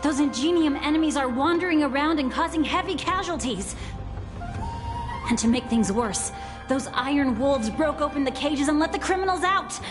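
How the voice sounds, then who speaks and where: A dutiful female warden from a video game. Her high voice is anxious and urgent as she reports formally, with clear music behind her. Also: animated, normal speed. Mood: urgent, alarmed. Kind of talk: English video game voice acting.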